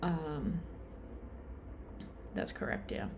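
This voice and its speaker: A woman's voice: low-pitched, lively intonation, very slow, darkish tone, slight background noise. A young adult woman vlogging in a casual, rambling way, full of fillers and self-deprecating asides, sounding tired.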